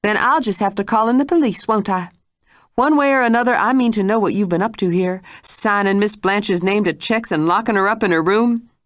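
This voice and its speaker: A woman's voice: mid-range voice, sing-song, moderate pace, dry recording, clean studio sound, voice pushed up, natural voice. A woman voicing a stern, determined housekeeper who scolds and threatens. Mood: threatening. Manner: scolding, forceful.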